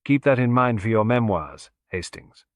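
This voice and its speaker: A man voicing a shrewd, courteous male detective who speaks in a mild, deliberate, philosophical way. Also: on the low side, lively intonation, dry recording, clean studio sound, lightly acted. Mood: grave, deliberate.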